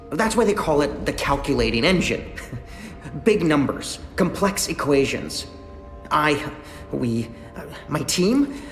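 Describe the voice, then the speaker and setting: A jittery young engineer who stammers and rambles. His voice sits fairly high and leaps up and down as he talks. The game audio rings with long, roomy reverb. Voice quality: stammering, flustered, talkative. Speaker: lead engineer character. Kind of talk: video game voice acting.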